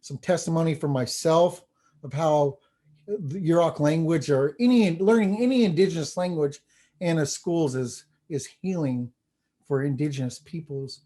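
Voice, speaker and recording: Man, slightly high voice, language teacher, panel presentation, earnest, informal, halting delivery. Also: warm tone. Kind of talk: presentation with testimonials read aloud.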